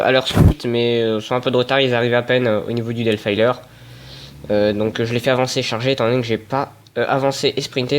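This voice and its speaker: Sniffly young man with a smooth voice. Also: mid-range voice, fairly bright tone. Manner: hesitant, many "euh" fillers and restarts. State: has a cold, sniffling. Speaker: wargame player reporting a battle. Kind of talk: casual battle report narration.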